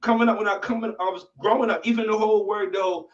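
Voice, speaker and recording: Host, male, late thirties, rambling street-casual delivery, slightly high, dull sound, a bit off-mic. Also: fairly even intonation, fast, recorded from a distance. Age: 30s. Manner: loose, rambling, lots of you know what I'm saying. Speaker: podcast host. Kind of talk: casual conversation.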